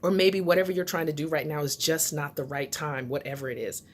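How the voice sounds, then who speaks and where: A woman speaking as a motivational host to her viewers, in a deliberate, instructive way that stresses key words. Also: low-pitched, fairly even intonation, slight background noise. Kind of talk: motivational talk to camera.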